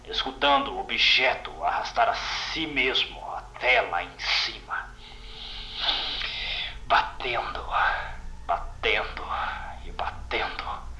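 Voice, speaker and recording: A shaken field agent acted by a man recounts horror with bitter, haunted intensity. His Brazilian-accented voice is very tense and bright, set in an echoing space like a horror audio drama. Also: medium pitch, slight background noise. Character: shaken, bitter male containment agent recounting a horrifying mission.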